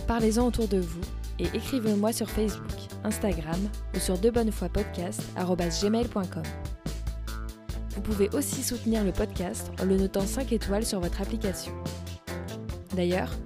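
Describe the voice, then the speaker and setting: Female podcast host, measured read, mid pitch, clear music bed behind. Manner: calm, measured reading. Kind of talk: scripted outro.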